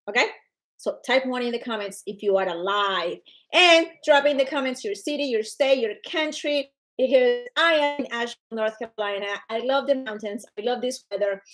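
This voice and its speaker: A woman with a Colombian Spanish accent hosts her own livestream. Her voice sits a little high, and she talks in a warm, chatty, energetic way. She greets her viewers by name and keeps them engaged. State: recovering from illness. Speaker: livestream host. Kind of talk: casual livestream talk to viewers.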